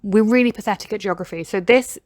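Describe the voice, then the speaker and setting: A woman's voice: medium pitch, fast talker, no room sound. British woman chatting over a clip, laughs a lot, dry close sound. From Britain. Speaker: reaction video host. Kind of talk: casual commentary.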